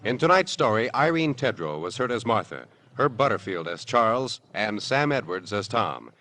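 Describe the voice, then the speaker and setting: A man's voice: on the low side, sing-song, moderate pace. An American man announcing on a 1950s radio broadcast, reading scripted credits and promos in a polished, formal manner. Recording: vintage radio broadcast, music underneath. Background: loud music.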